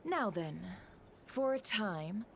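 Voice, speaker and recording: A woman's voice: medium pitch, sing-song, slow pace, fairly bright tone, a little hiss or hum, full character voice. A woman playing a poised, worldly society hostess in a video game, speaking in a formal, polished way. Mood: gracious, composed. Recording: music behind.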